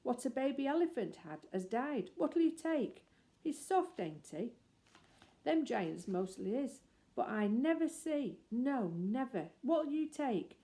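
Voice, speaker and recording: Storybook stallholder, played by a woman: working-class, coaxing, colloquial, excitable, raised pitch. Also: slow, heavily acted. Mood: excited, bargaining. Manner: colloquial, slangy, wheedling.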